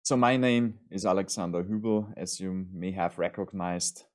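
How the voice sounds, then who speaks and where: A man with an Austrian accent delivers a university lecture online. His voice sits very low, and he speaks in a measured, formal way with frequent ums and restarts.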